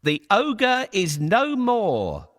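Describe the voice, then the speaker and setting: A man's voice: high voice, huge pitch swings, drawn-out words, fairly bright tone, voice pushed up, exaggerated voice. A man voicing a bold, cunning talking cat in a children's story, with theatrical, persuasive, emphatic delivery. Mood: proud announcing.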